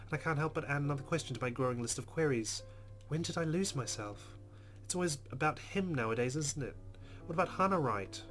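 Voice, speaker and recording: A male gaming host narrates a visual novel as he plays. His voice sits at a middle pitch, and game music plays clearly behind him. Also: fairly bright tone. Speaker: let's play host. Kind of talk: reading visual novel narration aloud with asides.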